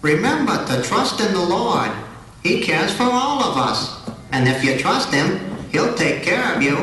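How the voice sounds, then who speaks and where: A man voicing a wry, kindly talking flower in a puppet show, gently reassuring and a little preachy. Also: high voice, big pitch swings, measured pace, heavily acted. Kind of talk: scripted puppet show dialogue. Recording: roomy echo, distant mic, faint noise.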